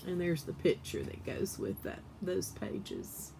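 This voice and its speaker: Expressive woman reading a children's story aloud. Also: on the low side, takes it slowly, vocal fry, slight background noise. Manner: animated, acts out dialogue with emphasis.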